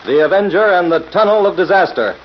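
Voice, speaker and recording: A man's voice: high-pitched, expressive, fast talker, loud music behind the voice. An American man narrating a 1940s radio mystery in a dramatic, declamatory, scripted style. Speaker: announcer. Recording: muffled old radio recording, faint noise.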